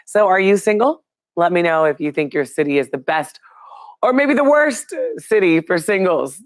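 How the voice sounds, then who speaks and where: Hoarse raspy lady hosting a live stream, kinda low voice, dry close sound, chatty and upbeat. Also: no room sound. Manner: chatty, upbeat, throws questions to viewers. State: hoarse from a cold. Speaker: live show host. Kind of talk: live-stream news chat, reading viewer comments.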